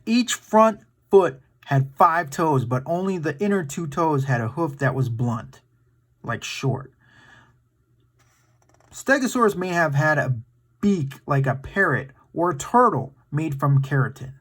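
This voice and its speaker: A man reads aloud for a children's story time with a very lively, swooping delivery. He takes his time, breaking into casual asides and excited exclamations. His manner is playful and dramatic. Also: on the high side, measured pace. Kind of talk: animated read-aloud with casual asides to young viewers.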